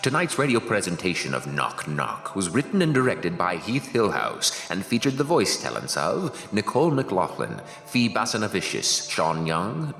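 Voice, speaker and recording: Low-voiced man reading credits in echo. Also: vocal fry, fairly bright tone. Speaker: podcast credits announcer. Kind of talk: scripted credits narration.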